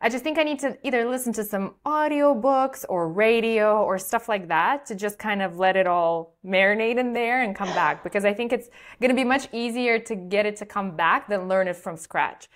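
A young woman from Moldova, a podcast host whose first language is Russian, chatting casually with lots of likes and you-knows. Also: mid-range voice. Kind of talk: casual interview chat. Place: bright room with large window.

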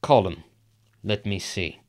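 A desperate, ruthless king, played by an actor, declaiming intensely in classical radio-play style. His delivery is slow, with a very animated pitch that rises and plunges. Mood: demanding, uneasy. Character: tormented, commanding warrior king, desperate and ruthless. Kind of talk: Shakespearean radio drama acting.

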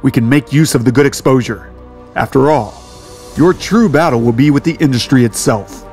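A man's voice: medium pitch, moderate pace, a little mellow, natural voice. Guy playing protective boyfriend in audio drama, serious, mid voice, music under it. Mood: tender, reassuring. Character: devoted, protective male romantic lead. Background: background music.

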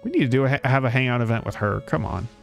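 A man's voice: medium pitch, animated, racing, creaky, faint music behind. A man chatting casually and informally as he streams a game, muttering asides. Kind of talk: casual livestream chat.

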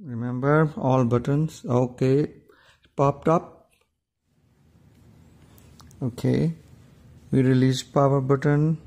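A man giving an informal step-by-step tech tutorial, explaining in a halting, repetitive way. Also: mid-range voice, creaky, dark tone. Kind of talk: informal step-by-step how-to narration.

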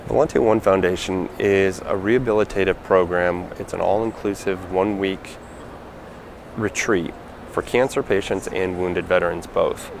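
A man in his forties speaking earnestly in an interview, pausing with fillers, recorded on a handheld mic in a large convention hall. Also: deep voice, fairly even intonation, gravelly, noisy background. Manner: earnest, plain-spoken, halting with fillers. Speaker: foundation founder. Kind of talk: interview answers. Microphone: handheld mic held by interviewer.

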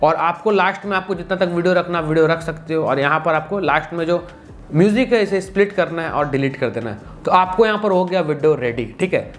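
A man's voice: on the high side, a little fast. A man giving a casual, instructional phone-app tutorial, often repeating stock phrases. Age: 20s. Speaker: tutorial presenter. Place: small indoor room.

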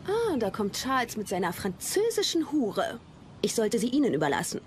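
An actress playing a jealous, venomous royal mistress in a historical docudrama, haughty and scornful. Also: female voice, on the high side, wildly swooping pitch, normal speed, a little hiss or hum. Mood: haughty, biting. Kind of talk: dubbed historical docudrama dialogue.

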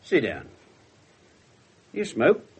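A man playing a gruff, wry, irreverent English police inspector in a radio drama, blunt and husky. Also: medium pitch, wildly swooping pitch, extremely slow, heavily acted. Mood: brisk. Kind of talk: 1970s radio drama acting.

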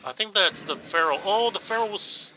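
A man's voice: high-pitched, normal speed, bright, forceful tone, a little hiss or hum, low-quality recording. A man with a stuffed, runny nose giving casual commentary over his game footage, rambling along with many ums and restarts. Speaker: gaming YouTuber. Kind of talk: casual let's play commentary.

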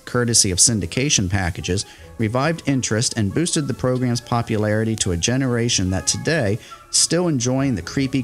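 A man reading a scripted history in an even middle register, steady and informative. Faint music plays under his voice. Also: medium pitch. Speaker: podcast host and radio historian. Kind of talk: scripted narration.

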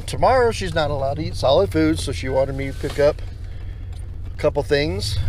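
American male vlogger, thirties, higher-pitched, folksy and playful, casual driving chat with noticeable engine and road noise. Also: darkish tone. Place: inside a pickup truck cab while driving. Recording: noisy car interior, engine and road noise.

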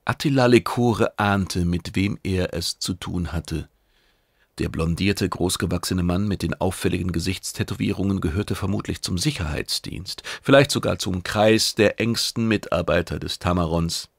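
A man's voice: low voice, moderate pace, vocal fry, husky, no echo, studio quality. A man reading scripted narration as the narrator of a science fiction audiobook.

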